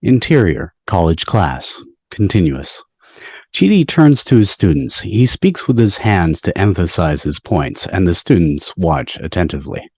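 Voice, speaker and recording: Deep-voiced man reading stage directions. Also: very animated, measured pace, a little mellow, breathy edge, no room sound, studio quality. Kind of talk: reading script directions aloud at a table read. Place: home, on a video call.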